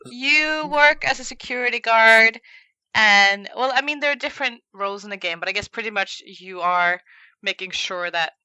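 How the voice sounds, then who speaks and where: Bright, clear-voiced woman chatting casually. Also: a little high, lively intonation, normal speed, no room sound, lo-fi sound. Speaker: podcast co-host. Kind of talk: casual group chat.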